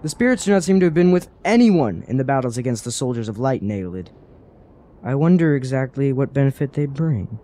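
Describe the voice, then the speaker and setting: Man playing a questioning adventurer, earnest, persuasive, mellow dark tone, mid-pitched, formal wording, fantasy audio drama. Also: big pitch swings, brisk, natural voice. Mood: pondering.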